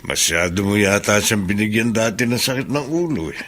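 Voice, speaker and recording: A kindly older Filipino man advising a fellow inmate. His low voice moves in lively contours as he consoles, in radio drama manner. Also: a little fast. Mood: consoling, wise. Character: kindly, consoling older male mentor in prison.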